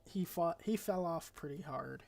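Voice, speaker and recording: A man with a stuffed-up, slightly raspy voice pitched a touch high runs through a game tier list in loose, rambling commentary. He keeps correcting himself mid-sentence, and faint music plays underneath. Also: measured pace, darkish tone. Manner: loose and rambling, with frequent fillers and self-corrections. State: congested. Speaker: gaming video creator.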